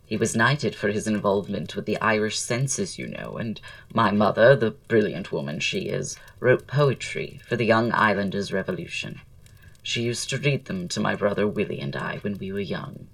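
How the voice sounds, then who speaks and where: An actor playing a witty, flirtatious poet and dandy in an audio drama, speaking in florid, arch, self-assured period style. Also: male voice, on the low side, animated, normal speed, vocal fry, fairly bright tone, no echo, recorded from a distance. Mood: proud, airy. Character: languid, witty, flirtatious male poet-playwright dandy, proud.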